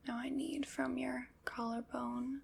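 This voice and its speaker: A woman voicing a calm, methodical skeleton armorer, whispering polite step-by-step instructions in an ASMR roleplay. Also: high-pitched, measured pace, gravelly, slight background noise, full character voice. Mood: gentle instructive.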